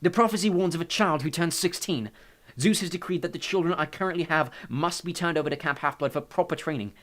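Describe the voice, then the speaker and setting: A male narrator plays a brooding lord of the dead in an audiobook story. He is protective and anxious, pleading urgently in a voice raised above an everyday talking pitch. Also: fast, fairly bright tone, close to an everyday voice. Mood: grim.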